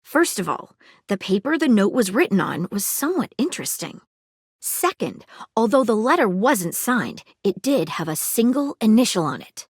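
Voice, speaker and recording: A woman's voice: medium pitch, sing-song, normal speed, husky, no room sound, clean studio sound. A woman voicing an eager, inquisitive young female detective in a video game, earnest and full of facts. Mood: analytical. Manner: earnest, inquisitive, fact-loving. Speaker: voice actor. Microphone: studio mic, dry booth.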